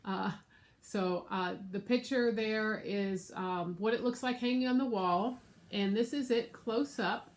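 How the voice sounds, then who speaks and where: A woman's voice: medium pitch, unhurried. An American woman with a nasal, stuffed-up voice from being sick, chatting casually to camera as she shows her craft projects. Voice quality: nasal, congested. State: sick with congestion. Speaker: craft vlogger and show host. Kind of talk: casual show-and-tell chat to camera. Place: room at home.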